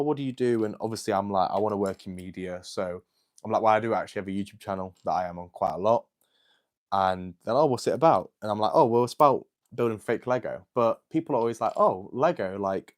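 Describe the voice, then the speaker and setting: A man's voice: on the low side, a little mellow. An eighteen-year-old man hosting a casual livestream, chatting and answering viewer comments with lots of "like" and "um". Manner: chatty and rambling, with many "like" and "um" fillers.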